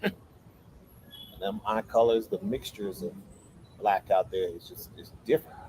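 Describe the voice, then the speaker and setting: A man co-hosting a casual livestream outdoors, relaxed, giving advice and answering viewer questions. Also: lowish voice, unhurried, a little hiss or hum, low-quality recording. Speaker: livestream co-host.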